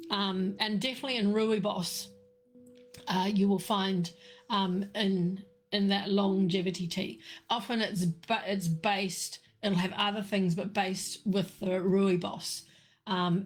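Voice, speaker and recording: A middle-aged woman hosting a live chat about tea, talking slowly with lots of fillers, her voice a little croaky. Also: medium pitch. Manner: slow, meandering, many fillers and restarts. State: slightly croaky. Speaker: livestream host answering viewers.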